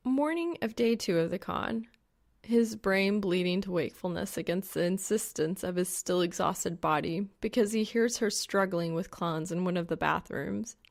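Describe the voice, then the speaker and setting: Creaky-voiced woman narrating a story. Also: fairly low pitch, normal speed. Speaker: audiobook narrator. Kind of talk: expressive scripted fan fiction narration.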